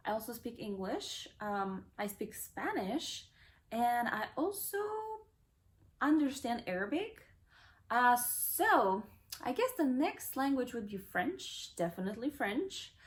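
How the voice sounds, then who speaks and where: A young woman whose first languages are Kazakh and Russian, reading questions aloud and answering them in a relaxed, rambling way with plenty of fillers. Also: on the high side. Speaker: vlogger. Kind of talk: casual Q&A vlog.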